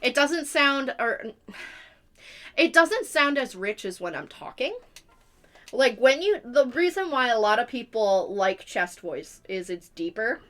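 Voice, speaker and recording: A woman's voice: fairly high pitch, big pitch swings, a little slow, a bit bright. A young adult woman chatting casually as a live streamer, explaining things in a rambling way. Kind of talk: casual chat.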